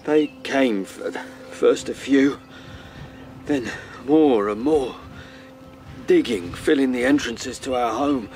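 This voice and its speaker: An actor playing a traumatised male rabbit, a former captain, speaking in a halting, haunted and distressed way in a radio drama. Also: medium pitch, a little mellow. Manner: halting, haunted, breaking off mid-sentence. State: shaken, distressed. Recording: music under the voice.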